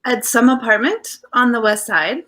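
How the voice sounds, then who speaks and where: Female actor as coy, affectionate detective's wife, radio play, higher voice, lilting animated delivery. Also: moderate pace. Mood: coy, composed. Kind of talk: 1940s radio drama acting.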